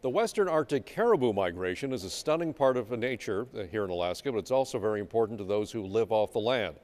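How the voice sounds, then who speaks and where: A man's voice: lowish voice, lively intonation, fast talker, darkish tone. A man with an American accent anchoring a television newscast, reading scripted news.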